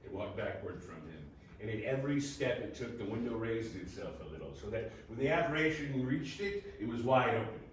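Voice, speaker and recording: A man giving a dramatic, deliberate narration of a classic ghost story in a theatrical manner. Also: mid-range voice, big pitch swings. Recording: very echoey room, distant mic, faint noise.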